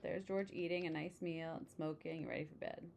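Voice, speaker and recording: A woman with a slightly low voice reads a children's storybook aloud. Her delivery is expressive and exclaiming, broken up by casual asides. There is some noise in the recording behind her. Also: moderate pace, vocal fry. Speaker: storybook reader.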